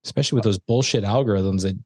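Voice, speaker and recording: A man's voice: on the low side, racing, darkish tone. A man co-hosting a casual movie review podcast, talking in a rambling, chatty way with lots of restarts. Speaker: podcast co-host.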